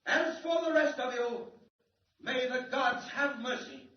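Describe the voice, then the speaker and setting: Grave doomsday scientist, old radio drama, really high declamatory male voice, muffled hissy recording. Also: a little slow, very echoey, distant mic. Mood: bitter, impassioned. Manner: solemn, declamatory, emphatic. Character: grave, impassioned alien scientist warning of doom.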